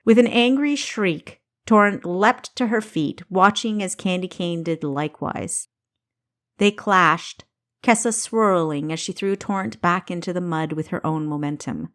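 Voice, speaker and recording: A woman with a slightly low voice narrates an action-driven audiobook. She reads in a dramatic, deliberate manner, enunciating each phrase with care. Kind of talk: scripted narration of an action story.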